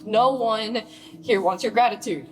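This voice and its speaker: A woman's voice: fairly high pitch, a little slow, soft background music, natural voice, rough recording. An actress playing a hurt, furious bride confronting her famous sister in a naturalistic radio play, scolding and pleading with emphatic profanity. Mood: wounded, bitter.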